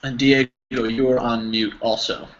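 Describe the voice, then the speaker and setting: A man's voice: medium pitch, little pitch movement, moderate pace, fairly bright tone. American man teaching over a noisy call. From United States. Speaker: English teacher of an online class. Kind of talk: casual group class instruction. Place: online video call. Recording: dull, noisy video call audio.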